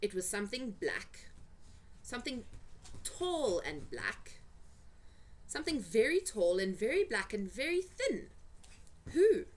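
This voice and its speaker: Expressive woman reading a children's storybook. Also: a little high, slow, long pauses. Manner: dramatic, suspenseful delivery with emphasized words. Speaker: amateur storyteller reading for family.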